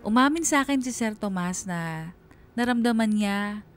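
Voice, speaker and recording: A woman's voice: medium pitch, animated, normal speed, lightly acted. Woman playing a single mom telling her story, reflective radio drama narration, light music behind. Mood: fond, reflective. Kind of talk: dramatized first-person narration.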